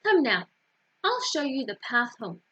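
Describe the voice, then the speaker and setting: A woman's voice: sits high, very animated, unhurried, bright, forceful tone, breathy edge, heavily acted. Woman voicing a wise, assured village rabbi. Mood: helpful. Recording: dull, roomy lo-fi recording.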